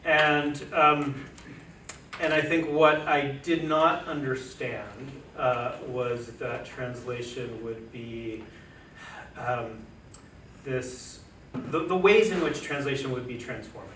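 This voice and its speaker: A man's voice: medium pitch, fairly even intonation, slow pace, a bit bright. Hesitant man in an echoey room. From United States, family from Chile. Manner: hesitant, many fillers and restarts. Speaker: poet and translator on a panel. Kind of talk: casual panel talk. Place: lecture room. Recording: echoey room, distant mic, noisy.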